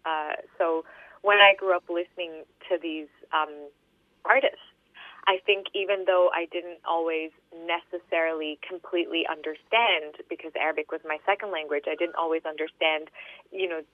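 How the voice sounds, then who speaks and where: A woman in her thirties from Australia, a poet answering interview questions with many fillers and restarts, then reading her poems in a steady, measured way. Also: low-pitched, dry recording. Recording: tinny phone-line sound.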